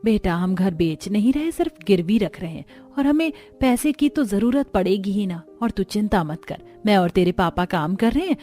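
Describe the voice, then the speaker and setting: A woman's voice: medium pitch, lively intonation, normal speed, few pauses, dark tone, faint music behind, close to an everyday voice. A woman voicing a caring, patient middle-aged mother in a Hindi moral-story cartoon. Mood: reassuring.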